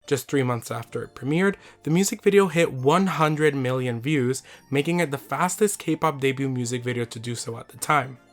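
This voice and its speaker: A man hosting a scripted video essay, his voice slightly raspy from losing it, reading with enthusiasm. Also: mid-range voice, moderate pace, few pauses.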